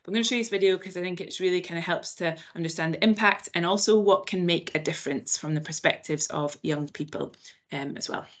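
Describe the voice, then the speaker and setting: A woman's voice: lowish voice, fast. A woman with a Scottish accent presenting a training session, chatty and loose, with lots of filler ums.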